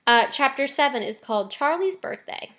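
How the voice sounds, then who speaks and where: A woman's voice: high voice, lively intonation, normal speed, a bit bright. A woman reading a children's storybook aloud expressively, like a teacher to students.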